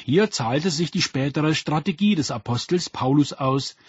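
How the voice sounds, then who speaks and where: A man reading a scripted radio documentary narration in a measured, even way. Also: on the high side, breathy edge, dry recording. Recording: dull lo-fi radio sound.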